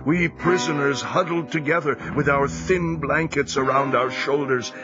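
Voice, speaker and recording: An actor playing a former prisoner of war, gravely narrating his hardships in an audio drama. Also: on the high side, moderate pace, natural voice. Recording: muffled recording, music behind.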